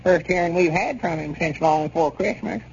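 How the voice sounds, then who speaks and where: A crotchety old country grandpa speaks in a creaky, high-pitched voice with a rustic Southern accent. His folksy, grumbling talk belongs to a 1930s radio comedy, heard on a muffled old recording. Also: fairly quick, slight background noise. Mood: curious, puzzled. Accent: rural Southern US.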